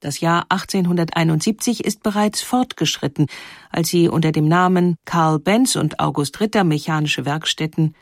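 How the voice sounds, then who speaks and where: A woman narrating a scripted historical documentary in a measured, clearly phrased storytelling manner. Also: sits low, dry recording. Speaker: narrator of a historical audio feature.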